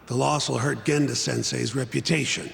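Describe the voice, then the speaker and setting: A man's voice: medium pitch, fairly even intonation, normal speed, vocal fry, fairly bright tone, big-room sound. An actor playing a blunt, skeptical male partner who dismisses the case. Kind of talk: video game voice acting.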